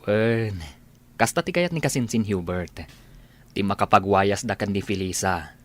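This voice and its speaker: A man playing a calm, discreet young gentleman in an Ilocano radio drama, quiet and matter-of-fact. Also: on the low side, a little slow, no room sound. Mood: calm confiding.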